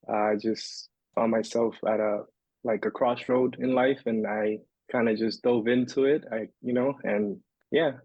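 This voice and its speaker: A man from the Dominican Republic tells his story as a podcast guest, loose and rambling, full of restarts and fillers. His voice is husky. It comes through dry and a little dull, like a modest home setup. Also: medium pitch, steady tone, a little slow, a little mellow, no room sound. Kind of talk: casual interview storytelling.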